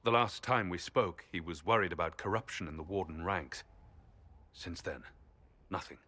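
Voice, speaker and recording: An actor playing a weary, resolute champion in a video game, speaking gravely and with measure. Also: male voice, low-pitched, normal speed, pushed and bright. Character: weary, resolute male champion burdened by duty. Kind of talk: dramatic video game cutscene acting.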